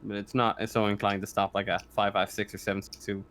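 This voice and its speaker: A man's voice: on the low side, little pitch movement, quick pace, a bit bright, slight background noise. Man game master, stumbling over phrases. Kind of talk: casual tabletop game narration.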